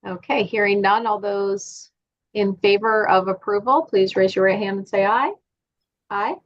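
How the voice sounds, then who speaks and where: A woman chairs a board meeting, moving briskly through motions and votes in set procedural phrases. Her voice sits mid-range, and the recording sounds dull and lo-fi. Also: medium pitch.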